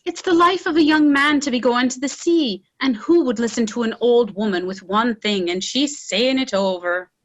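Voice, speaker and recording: Casting: actress as capable young country daughter, high-pitched, earnest, reproachful, rural tragedy. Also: female voice, moderate pace, hardly any pauses, close to an everyday voice, poor audio. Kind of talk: stage drama read as virtual play.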